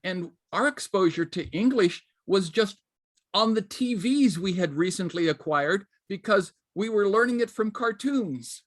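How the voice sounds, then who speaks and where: Man, panelist, high voice, dry close sound, measured storytelling. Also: expressive, no echo. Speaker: panelist, writer.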